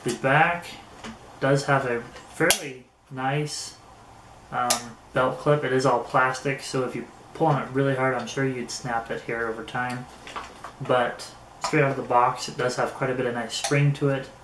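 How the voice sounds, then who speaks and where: A man giving a casual hands-on product review, speaking in a halting way with pauses mid-phrase. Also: medium pitch, fairly even intonation. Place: indoors in an echoey room. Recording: roomy echo, faint background noise.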